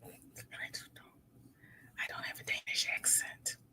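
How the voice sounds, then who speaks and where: A woman's voice: unhurried, sharp tone, in a whisper. A middle-aged woman hosting a casual crochet livestream, reading viewer comments aloud and greeting people by name.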